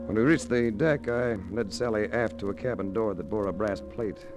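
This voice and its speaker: An American actor playing a suave, tough private investigator in a dry, clipped 1950s radio detective style. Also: male voice, lowish voice, moderate pace, music in the background, lightly acted. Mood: dry narration. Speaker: lead actor and first-person narrator. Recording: noisy vintage radio recording.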